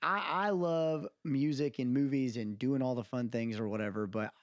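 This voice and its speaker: Male, average-pitched voice, dry close recording, casual podcast talk, opinionated, rambling, emphatic. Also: moderate pace, no room sound. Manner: rambling, emphatic, often checks with listener "you know what I mean". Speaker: podcast co-host. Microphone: close mic on remote podcast call.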